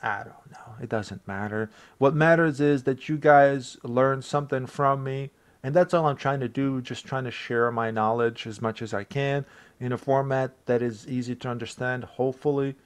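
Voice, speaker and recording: A man from Bosnia with a Bosnian accent, English his second language, casually talking through a software tutorial for his channel with rambling asides and self-deprecating chuckles. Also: mid-range voice. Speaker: tech tutorial creator. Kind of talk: casual screen-recorded tutorial.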